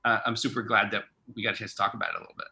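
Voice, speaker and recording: A man's voice: fairly low pitch, little pitch movement, very fast, creaky, sharp tone. A man hosting a casual interview in an informal, chatty, effusive way. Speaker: interview host, theater artistic director.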